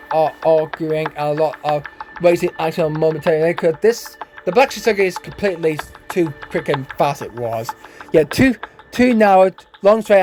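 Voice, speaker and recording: A man giving casual gameplay commentary as a YouTuber, rambling and stumbling over his words, his voice hoarse and going. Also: on the high side, a little mellow. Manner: rambling, stumbling, tags lines with "eh?" and "then, guys". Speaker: gaming YouTuber. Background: game music.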